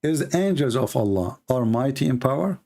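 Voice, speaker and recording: A man's voice: medium pitch, animated, normal speed, gravelly, a crowd in the background. A man whose first language is Arabic, hosting a live debate and pressing a caller with repeated questions. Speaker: host.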